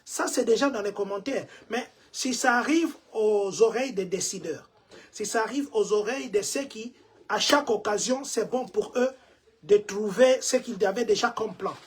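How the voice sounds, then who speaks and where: A man's voice: sits high, normal speed, husky. A man from Congo with a Congolese French accent, giving preachy commentary to his community and repeating himself with rhetorical questions. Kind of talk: vlog commentary to the diaspora. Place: Chicago.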